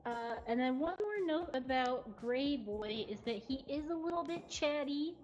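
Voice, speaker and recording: A woman with a highish voice presents casually on a livestream, taking her time as she chats. She sounds giggly and informal. The audio is a little dull, with music playing softly behind her. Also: animated, a little slow. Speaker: cat cafe host presenting adoptable cats. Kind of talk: casual unscripted livestream narration. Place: cat cafe. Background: faint music.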